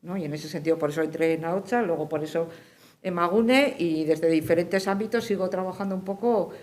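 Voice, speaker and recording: A woman's voice: fairly low pitch, a little fast, a little mellow. A woman speaking as a peace activist on a panel, giving reflective testimony emphatically and often checking in with tag questions. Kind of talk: reflective spoken testimony at a forum.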